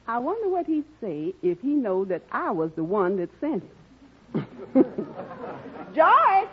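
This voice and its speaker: An actress playing a sly wife with a husky voice in a 1940s radio sitcom. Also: female voice, a little high, huge pitch swings, slow pace, pauses often, some chatter behind, heavily acted. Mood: sly amusement. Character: sly, nagging wife with a sweet front. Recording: muffled old radio recording.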